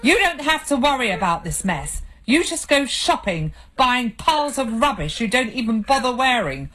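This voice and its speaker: A woman's voice: fairly high pitch, lively intonation, takes it slowly, a bit bright, slight background noise, pitched above the performer's own voice, poor audio. A woman reading the part of a drunk, distraught husband who has just lost his job, bitter and defensive in a row with his wife. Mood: angry. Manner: bitter, defensive, raised voice in arguments.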